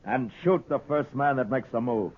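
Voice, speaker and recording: An actor playing a stern, commanding American frontier marshal who gives terse orders in a 1950s radio western. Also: male voice, mid-range voice, animated, moderate pace, natural voice. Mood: hard, threatening. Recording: tinny, noisy 1950s radio recording.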